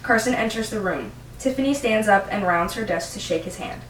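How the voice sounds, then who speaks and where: A woman's voice: mid-range voice, lively intonation, brisk. A young adult woman reading stage directions as the narrator of an amateur script read. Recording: roomy, distant mic, faint noise.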